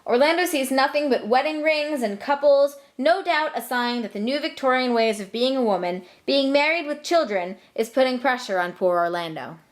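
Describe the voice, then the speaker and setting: A woman's voice: sits high, lively intonation, moderate pace, few pauses. A young woman narrating a comic scripted story in a flippant, casual manner.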